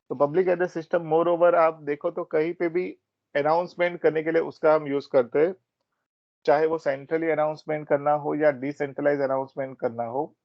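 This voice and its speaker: A man's voice: on the high side, vocal fry, no echo. A man with an Indian accent giving an informal, explanatory training presentation, mixing Hindi and English. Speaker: technical product trainer at a systems company. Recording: dull, band-limited close audio.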